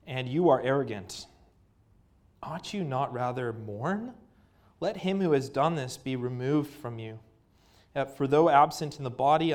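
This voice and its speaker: A man with a Canadian accent preaching a sermon, reading scripture and praying in an earnest manner with frequent pauses and fillers. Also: mid-range voice.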